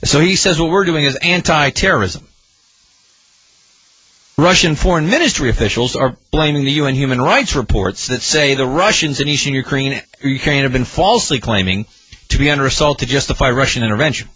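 A man's voice: mid-range voice, fairly quick, a bit bright, slightly rough, no room sound, highs cut off. A male talk radio host commenting on politics in a sarcastic, mocking way.

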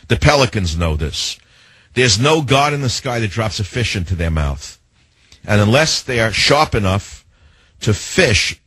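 Indignant talk radio host, low husky voice. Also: male voice, a bit bright, no room sound, low-quality recording. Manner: indignant, emphatic, rhetorical questions; slows down to hammer lists and key phrases.

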